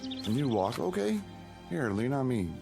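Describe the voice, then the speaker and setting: A man's voice: mid-range voice, big pitch swings, measured pace, vocal fry. An actor playing a helpful, easygoing local townsman in a radio drama, folksy in manner. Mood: helpful, soothing. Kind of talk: classic radio drama acting. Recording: radio drama with music behind.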